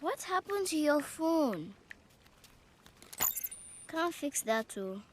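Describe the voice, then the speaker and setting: A woman's voice: high voice, sing-song, takes it slowly, heavily acted. Sulky spoiled rich girl.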